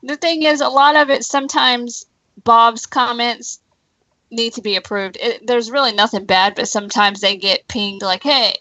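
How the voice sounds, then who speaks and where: A woman's voice: on the high side, steady tone, normal speed, pushed and bright, no room sound, poor audio. A middle-aged woman co-hosting a stream, chatting casually in short interjections. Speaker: stream co-host.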